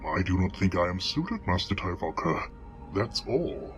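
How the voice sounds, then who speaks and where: A man performs a humble Jedi knight in a low, expressive voice. He is formal and drily polite in this comic dub, with clear music underneath. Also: unhurried, muffled recording. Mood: humble, dry. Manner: formal, courteous, dry.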